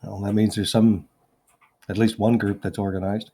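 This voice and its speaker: Very deep-voiced man, casual. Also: flat delivery, moderate pace, warm tone. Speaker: tabletop game player. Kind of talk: in-character roleplay chat.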